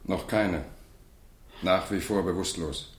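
An actor playing a calm, matter-of-fact doctor in a German radio crime drama. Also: male voice, low-pitched, slow, a little hiss or hum. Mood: calm, grave.

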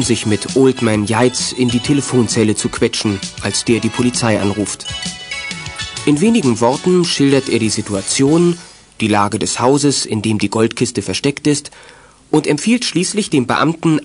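A man narrating a German children's radio play from a script. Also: mid-range voice.

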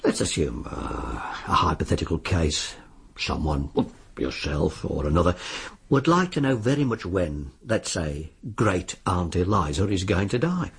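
An actor playing a suave, sly disbarred lawyer in a radio drama, with a British accent and an insinuating, politely formal way of talking. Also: male voice, deep voice, sing-song, a little slow, creaky, breathy edge, heavily acted. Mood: businesslike, sly. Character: suave, sly disbarred lawyer arranging sinister bets on deaths.

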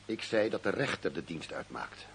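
A defiant accused policeman in a radio play, with a strongly husky voice in the lower range. He speaks tersely and formally, dry and refusing to bend. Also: big pitch swings, normal speed, exaggerated voice. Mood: dry defiance. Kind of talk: radio drama acting.

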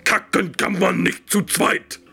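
A man's voice: sits high, level tone, slow, gravelly, music in the background. A man reciting silly comedy lines in a playful, declamatory way.

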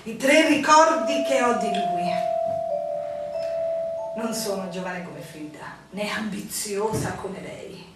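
An actress playing a melancholy innkeeper's wife, no longer young, formal and emotional, in a stage-like radio drama. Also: female voice, mid-range voice, slow pace, loud music behind the voice, close to an everyday voice. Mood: wistful, confessional. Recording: echoey room, distant mic, faint noise.

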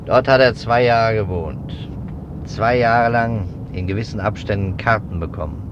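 An actor playing a methodical, suspicious investigator in a 1950s German radio drama, speaking deliberately in emphatic, clipped phrases. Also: male voice, lowish voice, lightly acted. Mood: recounting. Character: persistent adult male investigator, methodical and suspicious. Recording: dull, noisy 1950s radio recording.

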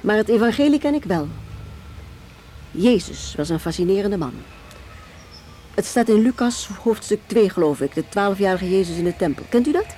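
Actress doing a defiant working mom in a radio play, soft dark tone, curt and dismissive, bit of street noise behind. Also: female voice, medium pitch, expressive, moderate pace, pauses often, close to an everyday voice. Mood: proud, defiant. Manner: brusque, stubborn, dismissive. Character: defiant, curt working mother guarding her gifted son. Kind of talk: Dutch radio drama acting.

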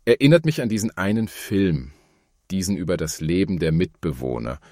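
Deep-voiced, wryly nosy man. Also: expressive, normal speed, a little mellow, no echo, studio quality. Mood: curious, wry. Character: nosy, wry housemate who works with pipes. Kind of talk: radio drama dialogue.

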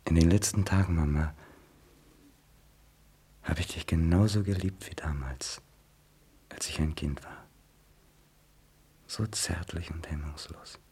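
A man playing an anguished young writer in a stage drama, intense, moving between hushed pleading and outbursts. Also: deep voice, moderate pace, creaky, long pauses, husky, heavily acted. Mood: tender, reproachful.

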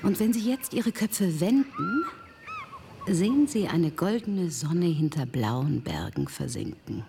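An actress playing an ambitious computer scientist in a German radio drama, formally and theatrically presenting her invention, at times hushed and coaxing. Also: lowish voice, wildly swooping pitch, slow, creaky, a little hiss or hum, exaggerated voice. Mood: grand, welcoming.

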